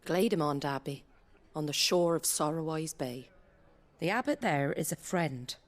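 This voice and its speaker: A woman's voice: low-pitched, expressive, measured pace. A woman playing a worldly, commanding innkeeper in a video game, with a direct, confiding manner. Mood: serious, confiding.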